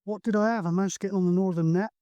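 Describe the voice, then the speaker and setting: A man's voice: high voice, fairly even intonation, rapid-fire, dark tone, clean tone, no room sound, dull audio. A man speaking casually outdoors on a mountain as a CB radio hobbyist, in a hesitant, matey way with radio jargon. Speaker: CB radio hobbyist, video host.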